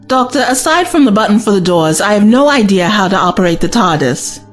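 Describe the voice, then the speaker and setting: A woman's voice: medium pitch, big pitch swings, fairly quick, faint music behind, lightly acted. A woman playing a defensive, anxious young lady companion in an audio drama. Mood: defensive, uneasy.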